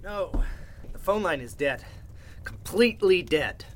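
A man playing a nervous, dejected character in an old-time radio mystery, with a halting delivery. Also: high voice, lively intonation, a little hiss or hum. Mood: worried.

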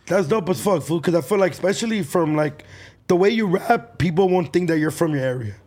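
Fast-talking young male interviewer. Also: sits high, expressive, darkish tone. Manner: fast, joking, slangy questioning. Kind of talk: casual interview chat.

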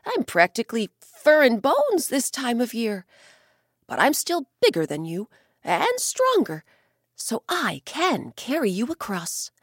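Big kind bear in a kids' story, higher and bouncy, slow talk, lady reading. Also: huge pitch swings, dry recording, clean studio sound, full character voice.

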